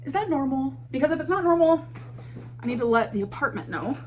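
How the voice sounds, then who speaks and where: A woman's voice: high-pitched, lively intonation, fairly quick. A woman vlogger chatting casually to her viewers and answering their questions.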